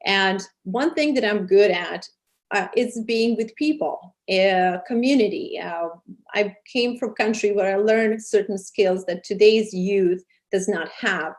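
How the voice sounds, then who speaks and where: Serbian lady with accent being interviewed, mid pitch, earnest and kinda halting. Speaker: interview guest, program producer and charity founder. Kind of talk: interview answers.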